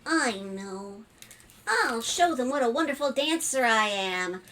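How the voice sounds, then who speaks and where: An eager little storybook spider, acted out by a woman reader speaks in a high, swooping voice. It is lonely, pleading and childlike, desperate to be a pet, with faint noise behind. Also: lively intonation, slow pace, pushed and bright, heavily acted. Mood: eager boasting.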